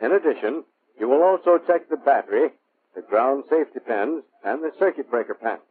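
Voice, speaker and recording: American man, training film narrator, measured instructive delivery, tinny band-limited old soundtrack, slightly husky. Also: mid-range voice, expressive. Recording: muffled, phone-like vintage military film soundtrack.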